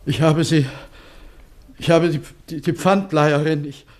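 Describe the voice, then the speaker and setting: Casting: man to play tormented confessor in radio drama, raised pitch, slow stammering, anguished. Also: fairly even intonation, a little mellow, close to an everyday voice. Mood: anguished, desperate. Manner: stammering, anguished, repeating himself. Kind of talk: German radio drama acting.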